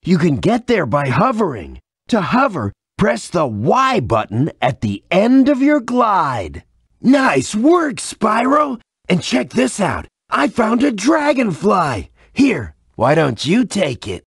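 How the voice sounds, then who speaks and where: An actor playing an eager, helpful small creature guide in a video game, with bouncy, drawn-out delivery. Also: male voice, sits high, wildly swooping pitch, breathy edge, no echo, clean studio sound, exaggerated voice. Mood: cheerful, encouraging. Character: eager, helpful small creature guiding the young dragon hero.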